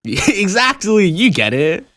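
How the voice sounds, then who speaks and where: A young man with a mild Australian accent chats as a podcast guest, his pitch bouncing all over the place. He laughs a lot and rambles on. The sound is close and dry. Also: unhurried, no echo. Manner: laughs often, lively and rambling. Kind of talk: casual podcast chat.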